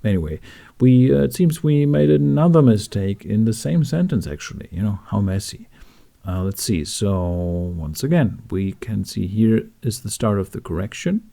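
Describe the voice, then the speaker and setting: A man talking through a software tutorial in a casual, informal way with wry asides. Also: fairly low pitch, expressive, normal speed, gravelly. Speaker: tutorial host.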